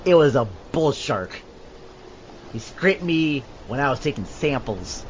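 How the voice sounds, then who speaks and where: A man's voice: medium pitch, expressive, measured pace, lightly acted. A man playing a cocky, wisecracking marine scientist in an amateur audio adaptation of a film scene. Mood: bantering, tipsy. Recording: dull, faintly noisy lo-fi recording.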